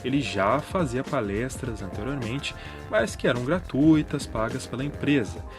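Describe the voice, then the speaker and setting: A man's voice: mid-range voice, lively intonation, moderate pace. A Brazilian man narrating news commentary in an informal, explanatory way. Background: background music.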